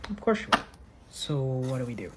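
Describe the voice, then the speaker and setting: A woman's voice: extremely low pitch, sing-song, moderate pace, very noisy. A woman telling a casual first-person story in a blunt, sweary, exasperated manner, acting out the dialogue.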